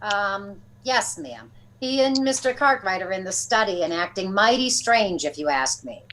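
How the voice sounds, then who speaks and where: A woman's voice: mid-range voice, animated, moderate pace, a bit bright, slight background noise, natural voice, rough recording. A woman playing a deferential, hesitant household maid in an old-time radio comedy. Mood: polite, hesitant.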